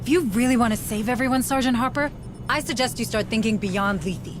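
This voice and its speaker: An actress playing a determined, principled colonial administrator in a video game, earnest and argumentative. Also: female voice, fairly high pitch, brisk, noisy background, lightly acted. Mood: urgent, insistent. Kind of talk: video game cutscene acting.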